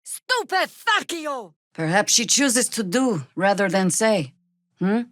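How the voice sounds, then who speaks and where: A woman playing a seasoned older druid warrior in a video game, wry and commanding, with dry, formal, archaic phrasing and a measured, weathered delivery. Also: on the high side, very animated, a bit bright, dry recording, exaggerated voice. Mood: forceful. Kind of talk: video game character acting.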